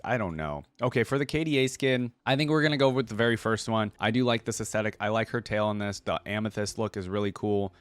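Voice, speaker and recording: A man's voice: lowish voice, no echo. A man hosting a reaction video, casually commenting and thinking aloud as he talks to his viewers. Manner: informal, thinks aloud, addresses viewers as guys.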